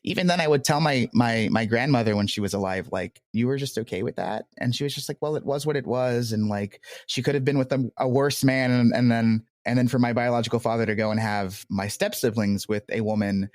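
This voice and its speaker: A man with a medium-pitched voice talks as an interview guest about his creative work. He is reflective and conversational, recorded with very little room sound. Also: fairly quick, hardly any pauses, darkish tone, no echo, studio quality. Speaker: podcast creator being interviewed.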